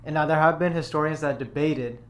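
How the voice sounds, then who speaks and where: A young adult man co-hosting a podcast, talking in a loose, rambling way. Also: fairly high pitch. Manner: rambling, loose, quick to laugh along. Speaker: podcast co-host. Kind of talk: casual chat. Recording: faint background ambience.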